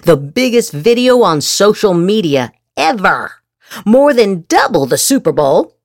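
A woman's voice: mid-range voice, big pitch swings, unhurried, no echo, clean studio sound. A woman podcast host reading political social media posts aloud dramatically, with theatrical, exaggerated inflection.

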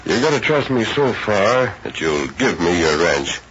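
A plain-spoken cowboy of a radio western. His voice is very low and moves freely in pitch. The old recording is dull, with clear background noise. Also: sing-song, unhurried. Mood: calm, commanding. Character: folksy ranch hand and calm masked western hero.

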